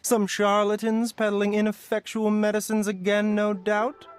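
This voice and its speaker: A man's voice: sits high, completely flat delivery, moderate pace, clean tone, lightly acted. A man playing a courtly, wry, suave doctor in a video game, speaking theatrically. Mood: courtly, teasing. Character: urbane male pharmacy doctor, suave, teasing and sly. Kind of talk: video game character dialogue. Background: game music.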